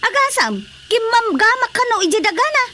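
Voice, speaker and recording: A woman playing an excitable, complaining village gossip in a Filipino radio comedy drama, with a Filipino accent. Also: very high-pitched, a little fast, slight background noise, exaggerated voice. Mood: indignant reporting.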